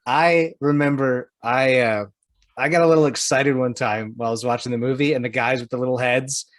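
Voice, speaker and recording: A man co-hosting a podcast, talking casually and informally with a halting, searching delivery. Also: medium pitch, fairly even intonation, no echo. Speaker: podcast host.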